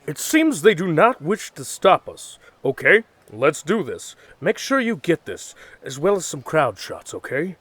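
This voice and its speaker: A bold male field reporter, played by a man in an audio drama. His voice sits fairly high and moves in lively sweeps, earnest and dramatic, in a very dry recording. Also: a little slow, few pauses, breathy edge, no room sound, exaggerated voice. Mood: determined.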